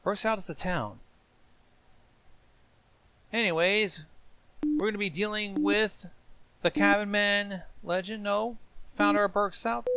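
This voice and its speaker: A man's voice: high-pitched, fairly bright tone, clean tone, no echo. A man hosting a reaction show, giving casual explanatory commentary on horror videos.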